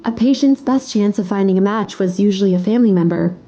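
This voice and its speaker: Woman story narrator, warm dark tone, dramatic scripted read over light music. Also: mid-range voice, quick pace, dull audio.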